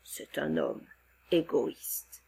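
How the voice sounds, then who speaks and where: A woman voicing a huge, gentle male forest monster in a read-aloud storybook, speaking slowly in a deep, hushed voice. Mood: grave.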